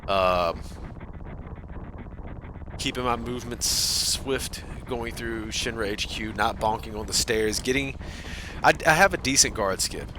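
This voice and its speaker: A man's voice: on the low side, unhurried, pauses often, noisy background. A man chatting casually with his live-stream chat while playing a game, talkative and self-deprecating. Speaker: speedrunner streamer.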